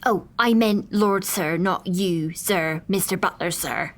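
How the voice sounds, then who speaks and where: A man's voice: high-pitched, slow pace, a bit bright, voice pushed up. A man voicing a nervous young housemaid who fires her words out stiffly and adds "sir" to everything. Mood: stiff, blurting.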